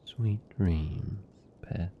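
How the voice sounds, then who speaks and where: A man voicing a lonely, tender and possessive vampire count in a British accent, speaking softly and soothingly in an intimate ASMR roleplay. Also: deep voice, dull audio, slight background noise. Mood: soothing, affectionate. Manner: soft, slow, soothing, lingering pauses.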